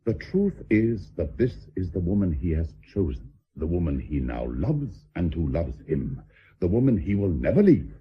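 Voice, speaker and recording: An actor playing a stern, courteous, worldly lord in a period radio drama, with formal, emphatic, rhetorical delivery. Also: male voice, low voice, very animated, normal speed, breathy edge, lo-fi sound, exaggerated voice. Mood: stern, insistent.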